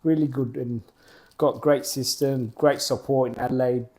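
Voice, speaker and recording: Mellow-voiced man, halting answers. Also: mid-range voice, steady tone. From Australia. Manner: plain, halting, short clauses. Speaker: guest, football program co-founder. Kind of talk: casual interview answers.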